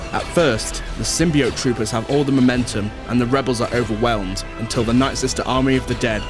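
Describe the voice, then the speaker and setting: Man narrating an audio drama over music. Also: mid-range voice.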